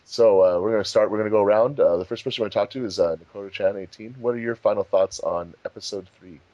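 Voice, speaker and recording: A man's voice: fairly low pitch, fast, mellow, no room sound, highs cut off. A man hosting a casual group discussion as a commentary host. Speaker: host of a fandub commentary track.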